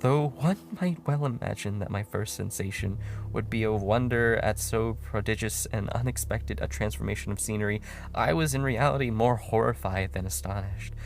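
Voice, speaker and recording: A man giving a dramatic horror story narration in a brooding, halting way with pauses. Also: fairly low pitch, creaky, a little hiss or hum. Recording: music under the voice. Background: music.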